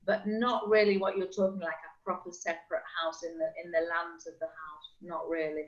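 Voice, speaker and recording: A woman's voice: on the low side, little pitch movement. Middle-aged woman agent on a video call, chatty, gives lots of examples, kinda muffled lo-fi sound. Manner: chatty, explains with quick examples. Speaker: real estate agent. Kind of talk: casual video call chat. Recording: slightly dull lo-fi call audio.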